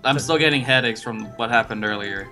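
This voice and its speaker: A man's voice: mid-range voice, brisk. A man bantering casually with a friend while playing a video game. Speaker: gaming co-player. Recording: music under the voice. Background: background music.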